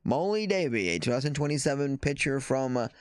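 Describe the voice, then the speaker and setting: A man hosting a softball show, talking casually and reading news aloud, with a stuffy nose after the flu and a halting delivery full of fillers and restarts. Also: medium pitch, expressive, clear, resonant tone, no echo, studio quality.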